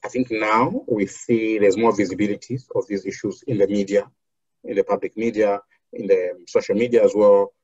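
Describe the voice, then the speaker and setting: A man from Zambia, a foundation program officer, answering interview questions in a measured way with many fillers and restarts. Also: lowish voice, fairly even intonation, darkish tone, breathy edge, no echo, lo-fi sound.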